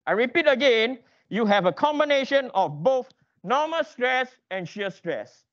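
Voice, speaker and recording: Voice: mid-range voice, animated, a little slow, a bit bright, muffled recording. An engineering lecturer teaching interactively in a classroom, quizzing students with emphatic, repeated questions and prodding them for answers.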